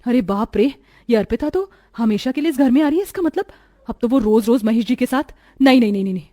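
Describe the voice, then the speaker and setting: A woman's voice: on the high side, lively intonation, fast talker, warm tone, no echo, studio quality, close to an everyday voice. A woman voicing a jealous, suspicious young wife in a cartoon family melodrama, speaking in an emphatic, accusing way. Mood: alarmed, scheming.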